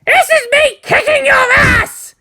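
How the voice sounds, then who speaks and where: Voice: very high voice, fairly even intonation, slow pace, sharp tone, pitched above the performer's own voice, full character voice. A performer voicing a brash, taunting teenage girl mech pilot in a storybook reading, combative and boastful. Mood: furious.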